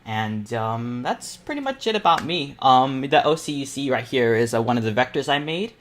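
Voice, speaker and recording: A teenage male streamer chatting casually as host of an online painting panel, nervous and excitable, in a rambling way. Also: mid-range voice, normal speed, slight background noise. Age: teens. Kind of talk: casual livestream chat. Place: indoors at a computer, live stream.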